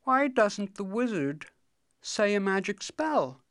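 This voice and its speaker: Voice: medium pitch, sing-song, slow pace, fairly bright tone, no room sound, clean studio sound, voice pushed up, full character voice. A stubborn storybook cobbler, voiced by a narrator, raised well above plain speech. He is gloomy and skeptical, with a blunt, grumbling, haggling way of talking. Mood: gloomy, challenging.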